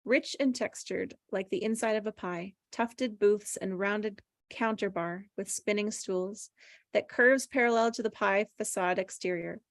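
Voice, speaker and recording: A woman's voice: mid-range voice, measured pace, no echo. A woman with a mid-range voice reads screenplay directions aloud. The delivery is scripted narration for a table read, and the sound is dry with little room in it. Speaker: stage directions reader.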